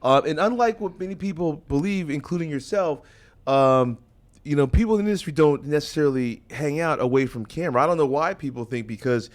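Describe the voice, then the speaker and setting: A middle-aged man hosting a talk radio show, speaking in a measured way and often restarting his sentences. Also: a little high, animated. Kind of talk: casual talk radio chat.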